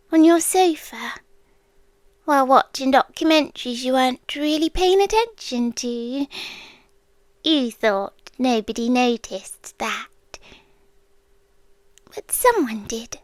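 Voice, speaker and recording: British woman doing an ancient doomsday girlfriend thing, calm n clingy, high soft half whispered asmr voice, super slow. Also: no room sound. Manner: slow, hushed, deliberate delivery. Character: ancient female apocalyptic being, calm possessive doting girlfriend.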